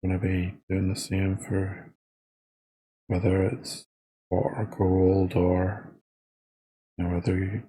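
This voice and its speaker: A man with a soft, husky voice leading a guided body scan meditation in an informal, unscripted, halting way. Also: sits low, monotone, rough recording. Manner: hesitant, halting delivery with trailing phrases.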